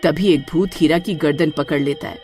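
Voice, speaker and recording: A woman's voice: low-pitched, normal speed, darkish tone, faint music behind. A woman narrating a horror-comedy cartoon story in a dramatic, suspenseful way.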